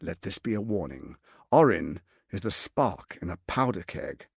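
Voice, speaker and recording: A man's voice: low-pitched, very animated, moderate pace, gravelly, husky, dry recording, studio quality, heavily acted. A man playing a suave, scheming, arrogant tyrant lord in a video game, with a pompous, silky, theatrical delivery. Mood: cold, menacing.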